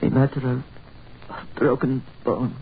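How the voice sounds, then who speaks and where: An actor playing a grim, fatalistic injured man with solemn, deliberate, formal speech in a 1940s radio horror drama. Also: mid-range voice, steady tone, lightly acted. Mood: grave, resigned. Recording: tinny, noisy 1940s radio recording.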